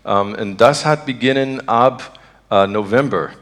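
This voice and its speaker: A man from Texas with an American accent, a native English speaker, preaching and praying earnestly in halting, broken beginner's speech as he searches for words. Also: lowish voice, fairly bright tone. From Texas, United States. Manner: earnest, devout. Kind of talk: informal sermon and spontaneous prayer.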